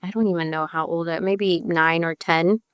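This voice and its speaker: A woman's voice: fairly low pitch, steady tone, moderate pace, clear, resonant tone, no echo, clean studio sound. A woman from El Salvador who grew up partly in Virginia, telling her personal story as a podcast guest in a candid, conversational way, often checking in with "you know" and "right?". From born in El Salvador, raised partly in Northern Virginia. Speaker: podcast guest, youth advocate.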